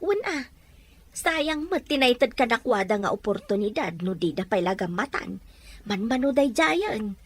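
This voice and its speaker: A woman acting a practical middle-aged mother in an Ilocano audio drama, husky, with a Filipino Ilocano accent. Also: on the high side, expressive, moderate pace. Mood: urging. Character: practical mother of a grown daughter, urging plans forward.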